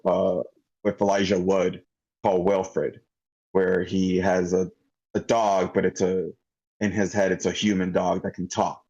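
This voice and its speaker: A man giving casual spoken critique of a script in an online meeting, halting and thinking aloud. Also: low-pitched, darkish tone. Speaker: script feedback participant. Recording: slightly dull online meeting audio.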